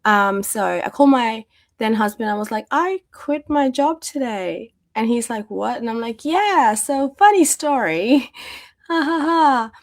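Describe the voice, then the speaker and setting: A woman's voice: a little high, expressive, unhurried, no echo, studio quality. Chatty woman losing her voice. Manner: chatty, many fillers and restarts. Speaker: guest, domestic violence practitioner. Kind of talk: conversational interview storytelling.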